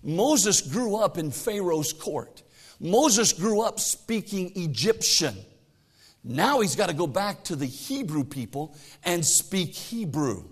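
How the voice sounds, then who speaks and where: A middle-aged man preaching a sermon as pastor, swinging between loud exhortation and quiet asides, reading scripture aloud in a raised voice. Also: sits high, very animated, slow, husky.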